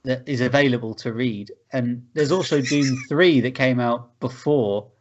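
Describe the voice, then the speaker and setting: A man's voice: medium pitch, unhurried, lo-fi sound. A man chatting casually as a podcast co-host, opinionated and sweary. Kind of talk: casual group chat about films.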